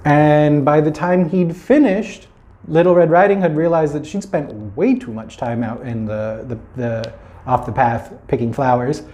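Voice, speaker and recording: A man's voice: a little high, measured pace, warm tone. A man telling a children's fairy tale informally, as a storyteller, with playful, chatty asides.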